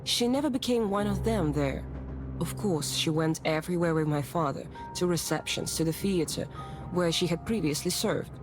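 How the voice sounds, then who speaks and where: A woman's voice: fairly low pitch, normal speed, lightly acted, rough recording. A woman voicing a well-bred, self-assured young lady in a visual novel, speaking in a composed, articulate, formal way. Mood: reflective, wistful. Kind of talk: visual novel voice acting, narrative dialogue. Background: music.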